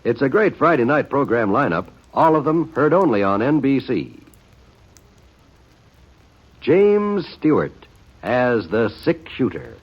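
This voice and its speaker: Animated American male radio announcer. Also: mid-range voice, slow pace, long pauses. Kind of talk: 1950s radio announcing, scripted. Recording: muffled vintage radio broadcast. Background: music.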